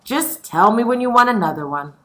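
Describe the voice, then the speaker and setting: Storybook father voiced by a woman: firm, concerned, questioning, with bright delivery. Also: on the high side, expressive, fairly quick.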